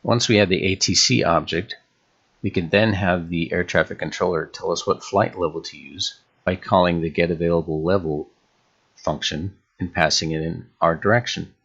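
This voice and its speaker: Male tutorial host, very low register with heavy fry, measured instructional screencast delivery. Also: fairly even intonation, a bit bright, highs cut off. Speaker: game development tutorial host.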